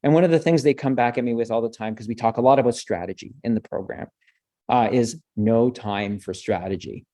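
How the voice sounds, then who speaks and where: A man's voice: mid-range voice, fairly quick, dark tone, no room sound, clean studio sound. A man from French Canada, a native French speaker, answering interview questions as a communications professor in a thoughtful, discursive way, often restarting and tagging "right?".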